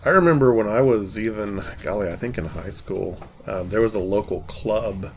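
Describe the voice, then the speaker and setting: A man's voice: on the low side, moderate pace. A man chatting casually and informally as a co-host on a hobby show. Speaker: co-host of an old tech show. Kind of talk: casual chat. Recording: background noise, room ambience.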